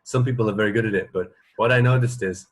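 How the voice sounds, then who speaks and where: A man's voice: fairly low pitch, fast talker. A man co-hosting a radio show in casual chat, talking in a hesitant, searching way with repeated words and little check-in questions. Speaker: radio co-host.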